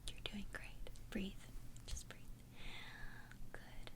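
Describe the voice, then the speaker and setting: A woman playing a protective vampire best friend in a whispered ASMR roleplay, speaking breathily in a soothing, halting, reassuring way. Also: lightly acted. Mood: soothing, encouraging.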